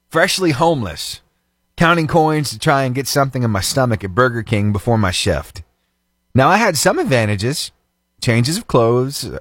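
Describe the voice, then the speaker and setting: Male radio morning-show host, lively pitch, dry close studio sound, fervent then chatty. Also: dry recording. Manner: animated, fervent praying then chatty and upbeat. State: slightly itchy throat, voice lower than usual. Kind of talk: prayer and casual radio chat. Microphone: studio microphone.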